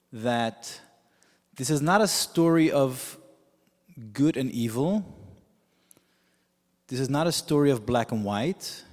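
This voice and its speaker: A man whose first language is Yiddish, preaching as a rabbi in a measured storytelling way with frequent pauses. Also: mid-range voice, a little mellow. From United States. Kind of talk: sermon. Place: synagogue sanctuary with long reverb. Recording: reverberant hall.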